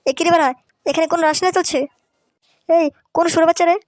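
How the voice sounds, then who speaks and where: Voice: very high voice, steady tone, fast talker, noisy background. A performer voicing brash, foul-mouthed young village men in a comic cartoon, in crude slangy banter over music. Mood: angry, confronting. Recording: music behind the voice.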